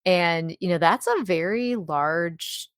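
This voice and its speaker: A woman with a fairly low voice co-hosts a casual discussion. She sounds agreeable and conversational, adding asides as she goes, in a very dry recording without room sound. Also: slow, clean tone, dry recording. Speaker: podcast co-host.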